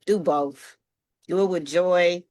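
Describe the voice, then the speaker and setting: Low-voiced woman, dry close sound. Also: takes it slowly, a little mellow, no room sound. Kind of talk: supportive conversational advice.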